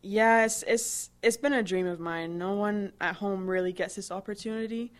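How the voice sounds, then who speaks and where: A woman's voice: medium pitch, steady tone, normal speed. A woman in her twenties from Barbados, answering interview questions in a chatty way, with many fillers and restarts. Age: 20s. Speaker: interviewee, new employee.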